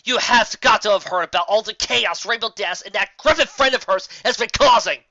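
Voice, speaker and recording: A woman's voice: medium pitch, a little fast, vocal fry, bright, forceful tone, dry recording, muffled recording, voice pushed up. A woman voicing a hot-tempered young pegasus pony in an indignant, blunt manner for a story reading. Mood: exasperated.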